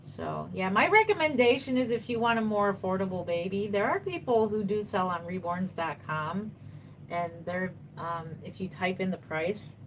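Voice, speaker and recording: A woman's voice: fairly low pitch, noisy background. A woman in her fifties, a doll artist chatting casually on a live stream, reading viewer comments and answering them reassuringly while she paints. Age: 50s.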